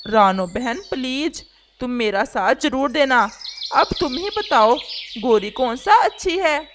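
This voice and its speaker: A woman's voice: high voice, animated, slow pace, noisy background. A woman voicing a whiny, scheming, lovesick male crow in a children's cartoon, with a pleading, complaining manner.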